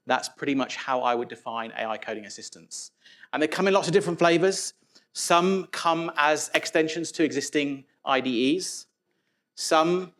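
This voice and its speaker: A man's voice: mid-range voice, fairly bright tone. A man gives a conference talk on developer tools in a casual, conversational way, with plenty of fillers and restarts. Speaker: conference speaker.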